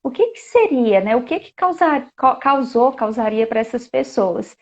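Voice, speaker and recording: A woman's voice: a little high, lively intonation, normal speed. Chatty Brazilian woman hosting a live chat. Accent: Brazilian Portuguese. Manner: chatty, reads viewer comments aloud, many fillers. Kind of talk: casual live-stream chat. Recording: dull lo-fi live-stream audio.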